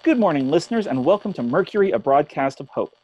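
A man's voice: a little high, sing-song, quick pace, a little mellow, highs cut off, slight background noise. A man acting in a radio drama as an earnest, thoughtful radio host after a zombie apocalypse. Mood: upbeat. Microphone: close studio mic on boom arm.

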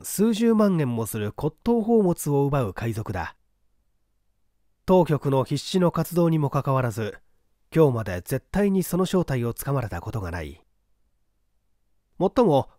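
A man's voice: fairly high pitch, mellow, no room sound, clean studio sound. A man reading mystery fiction as scripted, theatrical audiobook narration. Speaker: audiobook reader, stage actor.

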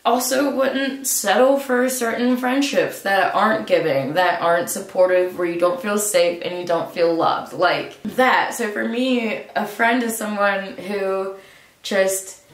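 A woman's voice: medium pitch, a little slow. Stuffy-nosed young woman in an echoey room. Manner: relaxed, musing, many "like" fillers. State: stuffy nose. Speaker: vlogger. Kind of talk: casual reflective monologue to camera. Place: small room indoors, seated in a hanging chair. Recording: roomy echo, mic at a distance.

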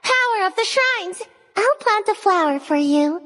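A woman voicing a gentle young shrine guardian spirit for a video game, speaking in soft, girlish, earnest and poised lyrical lines. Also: extremely high pitch, lively intonation, a little slow, heavily acted. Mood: solemn, resolute. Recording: long reverb on studio voice lines.

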